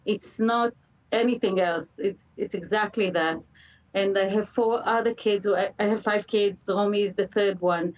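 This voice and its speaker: A middle-aged woman with an Israeli accent gives an earnest interview over a video call. Her voice sits at a medium pitch, and she talks haltingly, repeating words for emphasis. She sounds a little way from the microphone. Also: distant mic, highs cut off. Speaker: interview guest, mother of a hostage. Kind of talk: emotional live TV interview. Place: indoor room, live remote video link. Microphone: computer video call.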